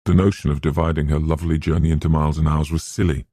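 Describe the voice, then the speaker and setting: A man narrating a literary novel as an audiobook in measured, scripted storytelling. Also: extremely low pitch, flat delivery, warm tone, no room sound, studio quality.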